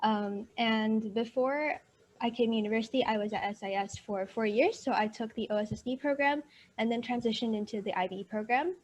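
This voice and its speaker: An upbeat young woman with a slightly Texan-flavored American accent explains her school path on a video call. Her voice sits a little high, with a faint hiss of noise behind it. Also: fairly quick, a little mellow. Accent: Texan-tinged American. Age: young adult. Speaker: alumna guest, university student. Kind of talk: virtual panel interview. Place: at home in summer heat.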